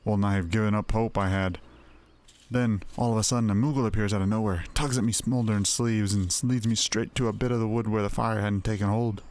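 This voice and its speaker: A man voicing a rough, working-class male stablehand in a game who talks in a folksy, colloquial way. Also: fairly low pitch, fairly even intonation, fairly quick, a little mellow, lightly acted. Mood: storytelling.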